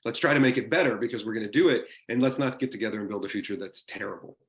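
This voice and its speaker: A man giving an informal seminar talk over a video call, lively and enthusiastic, often restarting his sentences. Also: lowish voice, very fast, echoey room. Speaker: guest speaker in a university seminar.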